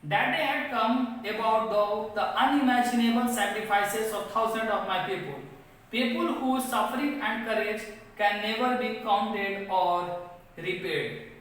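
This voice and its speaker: Slow Indian English woman teaching, echoey. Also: a little high, fairly bright tone. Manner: slow, repetitive, reads a line then paraphrases it. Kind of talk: explaining a textbook lesson to students. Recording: echoey room from far off.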